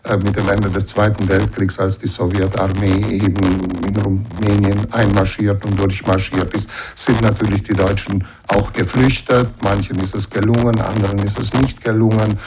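Low-voiced man from Banat, measured telling. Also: level tone, darkish tone, slight background noise, low-quality recording. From Banat, western Romania. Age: middle-aged. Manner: measured, explanatory, with frequent fillers. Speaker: interview guest. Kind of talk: reflective interview answers.

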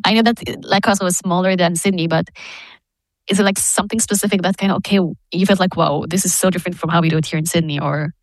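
A woman's voice: on the low side, steady tone, fast talker, no echo. A woman interviewing a student, asking friendly questions and checking in with "right?". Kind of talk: casual interview.

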